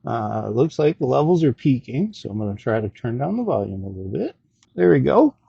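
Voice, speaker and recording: A man's voice: medium pitch, sing-song, a little fast, gravelly, dark tone, husky, no room sound. A man casually talking through an unscripted gadget review as host, in a rambling, hesitant, self-deprecating way, on a slightly dull recording.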